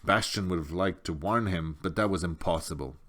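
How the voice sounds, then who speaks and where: A man's voice: low voice, brisk, a bit bright. A man narrating a storybook as an audiobook reader.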